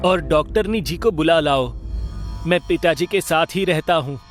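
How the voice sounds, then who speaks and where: Caring elder son, played by a man: young, high male voice, earnest, horror cartoon, music behind. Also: moderate pace, natural voice. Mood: worried. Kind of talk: animated horror story dialogue.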